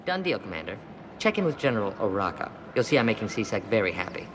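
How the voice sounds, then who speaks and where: Shifty arms dealer in an echoing hall. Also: male voice, on the low side, sing-song, fast talker, background noise. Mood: pleased. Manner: slangy, evasive, salesman-like. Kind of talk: naturalistic game acting. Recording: long hall reverb, loud music behind.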